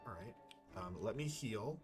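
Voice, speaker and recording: A man's voice: on the low side, lively intonation, a little slow, faint music behind. A man doing casual let's-play commentary, thinking aloud in a rambling, playful and sometimes profane way. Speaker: gaming YouTuber playing and commenting.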